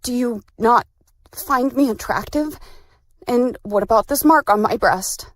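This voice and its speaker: Slow, tipsy, vulnerable woman played by an actress. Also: a little high, a little hiss or hum, lightly acted. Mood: vulnerable, flirty.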